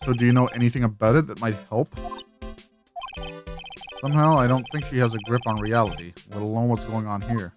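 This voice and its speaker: A woman's voice: extremely low pitch, a little fast, long pauses, over music, pitched below the performer's own voice. A woman voicing an earnest young male defense lawyer, wryly skeptical, with dry asides in a video game dub. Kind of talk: video game dialogue and inner monologue.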